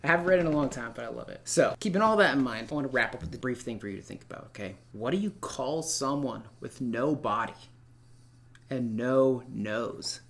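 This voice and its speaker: A man teaching literature in a read-aloud lesson, speaking in an expressive, informal and encouraging way. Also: mid-range voice, fairly quick. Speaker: middle school literature teacher. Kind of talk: read-aloud lesson, narrating a novel and addressing students.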